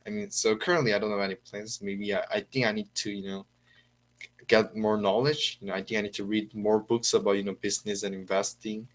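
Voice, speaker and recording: Hesitant teenage Korean boy, low voice. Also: little pitch movement, fairly quick. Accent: American-leaning Korean English. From South Korea. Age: teens. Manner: hesitant, many fillers and restarts. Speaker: student. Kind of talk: casual conversation practice.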